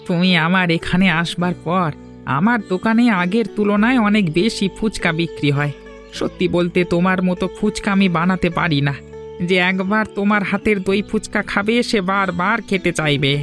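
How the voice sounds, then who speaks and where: High-pitched, gentle stall owner voiced by a man. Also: moderate pace, faint music behind. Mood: appreciative. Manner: gentle, consoling. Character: kind, supportive street-food stall owner. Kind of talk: dubbed cartoon dialogue.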